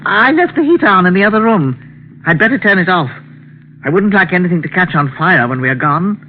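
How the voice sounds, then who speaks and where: An actress playing a fussy, anxious older aunt in a vintage radio drama, hesitant and rambling but quick-witted. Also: female voice, fairly low pitch, lively intonation, a little hiss or hum, close to an everyday voice. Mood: flustered stalling. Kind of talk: 1940s radio drama acting and first-person narration. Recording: tinny old radio recording, music behind.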